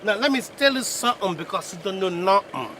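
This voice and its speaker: A man's voice: sits high, lively intonation, fairly quick, sharp tone, slight background noise, voice pushed up. A man voicing a swaggering returned uncle who puts on an affected Western accent. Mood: boastful.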